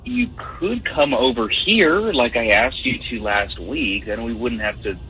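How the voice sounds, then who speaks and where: A man's voice: medium pitch, lively intonation, measured pace, sharp tone, a little hiss or hum. A man talking conversationally on a phone call to a radio show. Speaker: caller on a radio phone segment.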